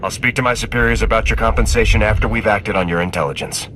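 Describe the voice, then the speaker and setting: An actor playing a steady, dutiful military sergeant in a video game, speaking in a measured, firm, reassuring way. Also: male voice, lowish voice, a little hiss or hum, close to an everyday voice.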